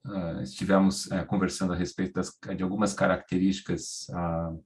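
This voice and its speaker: Deep, gravelly Brazilian man addressing a webinar. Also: level tone, darkish tone. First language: Portuguese. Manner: halting, searching for words. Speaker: program director and webinar speaker. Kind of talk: informal welcome speech in a webinar.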